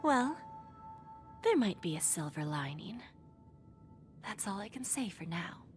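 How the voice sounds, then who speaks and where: A woman's voice: mid-range voice, sing-song, faint music behind. A poised, calculating pawnbroker lady. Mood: measured, intrigued. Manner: measured, deliberate, formal, insinuating. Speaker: voice actor in a video game. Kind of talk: scripted game dialogue.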